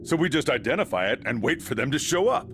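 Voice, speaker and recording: Animated, earnest fighter. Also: male voice, medium pitch, fast talker, slight background noise. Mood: puzzled, eager. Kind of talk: anime game voice acting.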